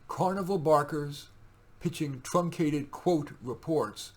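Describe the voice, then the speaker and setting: A man's voice: on the high side, slow. A man reading his own poetry, theatrical and emphatic in the verse, with casual asides in between. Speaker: poet reading own work.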